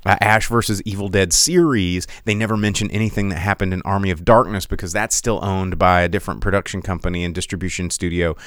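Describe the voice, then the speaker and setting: A man hosting a podcast in casual solo commentary, rambling and animated with sarcastic asides, on a close mic. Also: deep voice, quick pace, few pauses, no echo. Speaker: podcast host.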